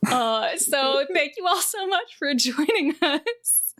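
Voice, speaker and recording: A woman's voice: high voice, expressive, slow pace, a bit bright. A woman acting as game master, narrating and hosting a tabletop game in a casual way.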